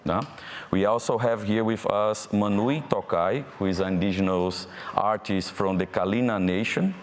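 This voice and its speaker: Brazilian guy hosting a panel in a hall, really low voice, big reverb, kinda halting. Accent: Brazilian Portuguese. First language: Portuguese. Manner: friendly, halting, with fillers and restarts. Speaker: panel moderator. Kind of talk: live panel moderation. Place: event hall. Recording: hall reverb from a live event.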